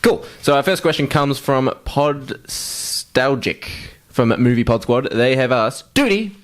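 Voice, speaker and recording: A man's voice: medium pitch, expressive, a little slow. A man co-hosting a podcast in casual, blokey, sweary chat. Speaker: podcast co-host.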